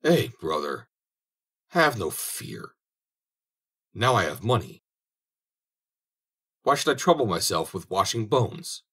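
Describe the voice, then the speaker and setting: A man's voice: lowish voice, very animated, slow pace, long pauses, fairly bright tone, slightly rough, exaggerated voice. A man voicing a cunning, greedy former soldier who blusters and wheedles, in an audiobook reading. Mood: sly.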